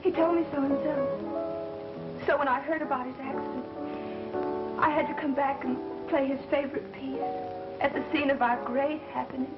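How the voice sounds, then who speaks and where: An actress playing a bold, quick-witted young woman sleuth in a theatrical 1930s film style, wry and given to melodrama. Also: sits high, steady tone, close to an everyday voice. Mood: coy, mock-innocent. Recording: dull 1930s film soundtrack with music.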